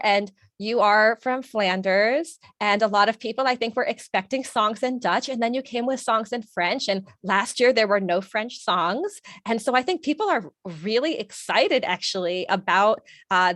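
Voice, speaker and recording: A woman's voice: a little high, a bit bright, dry recording, clean studio sound. A woman hosting an interview, asking long, thoughtful questions in a chatty way with frequent fillers. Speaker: interviewer host. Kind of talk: casual interview.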